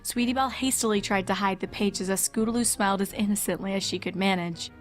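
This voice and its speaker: A woman narrates a story in a scripted, storybook style. Her voice sits at a medium pitch, with soft music playing underneath. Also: fast. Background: background music.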